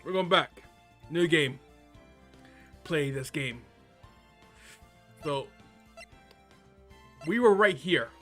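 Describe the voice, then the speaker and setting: A man's voice: a little high, expressive, extremely slow, pauses often, sharp tone, faint music behind. A man chatting casually on a livestream as he plays a game and talks to viewers. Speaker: game streamer. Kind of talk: casual livestream chat while playing.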